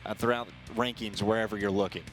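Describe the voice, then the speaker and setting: A man's voice: fairly low pitch, steady tone, fast, soft background music, poor audio. A man with an American accent giving conversational live golf commentary as a color analyst.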